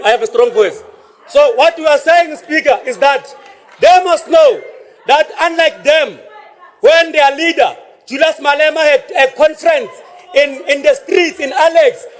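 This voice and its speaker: A South African man, a councillor giving a heated, combative speech in a council debate, often shouting. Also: very high-pitched. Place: council chamber.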